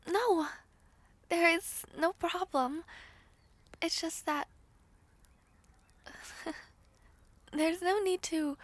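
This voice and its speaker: A woman plays a humble young former maid in a fantasy audio roleplay. Her voice is high and soft, close and dry, and she speaks slowly with hesitant, apologetic courtesy, easily flustered by attention. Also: dry recording. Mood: flustered, polite. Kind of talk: scripted audio roleplay acting.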